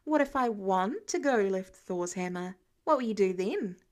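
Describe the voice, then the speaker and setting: A woman's voice: mid-range voice, animated, moderate pace, voice pushed down, lightly acted. An easygoing, nerdy teenage boy in an audio story, voiced by a woman. He talks casually, with wry, self-deprecating humor and playful teasing.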